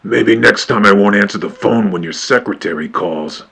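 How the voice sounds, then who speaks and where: A man playing a brisk, gruff New York police sergeant, curt and commanding in a noir radio play. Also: lowish voice, bright, forceful tone, exaggerated voice. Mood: curt authority.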